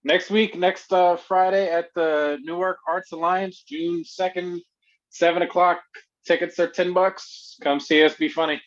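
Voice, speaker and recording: A man's voice: sits high, steady tone, a little slow. Laid-back man, dull lo-fi sound. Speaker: podcast regular and improv performer. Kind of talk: casual comedy podcast chat.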